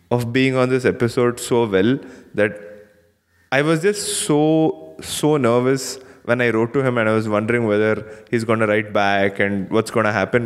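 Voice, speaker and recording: A man's voice: medium pitch, long reverb tail. A man with an Indian accent hosts a podcast in a chatty, informal way, speaking directly and casually. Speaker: podcast host. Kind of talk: casual podcast introduction and interview chat.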